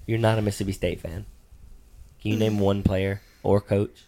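A man's voice: fairly low pitch, little pitch movement, moderate pace, mellow. A middle-aged man, a father co-hosting a casual chat about game picks, patient and dryly teasing in a matter-of-fact way.

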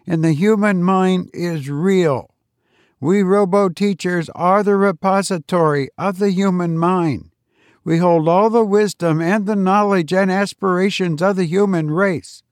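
A man voicing a calm, strict robot teacher who speaks flatly and without feeling. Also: high voice, dry recording, studio quality, voice pushed up. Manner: flat, unfeeling, measured.

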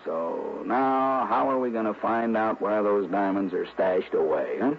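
A man's voice: on the low side, measured pace, creaky. An actor playing a brash, friendly New York police lieutenant who ribs his pal colloquially in a 1960s radio drama. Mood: exasperated. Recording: muffled old radio recording, faint noise.

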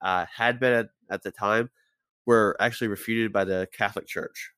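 A man's voice: lowish voice, steady tone, moderate pace, fairly bright tone, smooth, no room sound. Rambling man with a head cold. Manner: rambling, stumbles over names, swears casually. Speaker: podcast host. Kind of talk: casual podcast storytelling.

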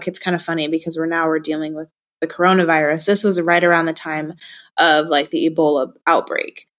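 Lower-pitched young woman, candid and reflective. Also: fairly bright tone. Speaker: interview guest. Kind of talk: casual personal storytelling.

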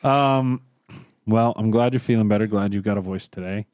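A man's voice: fairly low pitch, moderate pace, darkish tone, no echo. A man co-hosting a casual podcast, rambling and losing his train of thought, with a lingering cough and tickly throat. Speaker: podcast co-host. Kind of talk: casual chat.

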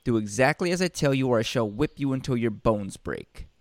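A man's voice: mid-range voice, animated, brisk, no room sound, lightly acted. A man voicing a spiteful, bullying woman from a fairy-tale stepfamily, sneering and scolding in storybook style. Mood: threatening, scolding.

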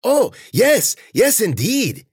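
A fawning, anxious manservant played by a male narrator in a storybook, pitched high. He swoops eagerly through his words and repeats himself to please his mistress. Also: wildly swooping pitch, normal speed, gravelly, husky, no echo, studio quality, exaggerated voice. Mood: anxious, servile. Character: servile, anxious male plant-breeding helper of old mistress.

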